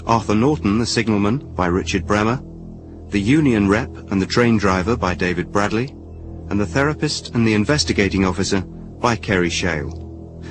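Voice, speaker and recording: A man reading scripted credits as a radio continuity announcer, in a formal announcing style. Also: on the low side. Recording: music clearly playing behind. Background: music.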